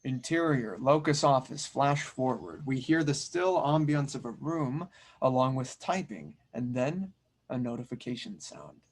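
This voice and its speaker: A man's voice: mid-range voice, lively intonation, measured pace. A man acting as host and narrator, introducing and reading stage directions at a script table read.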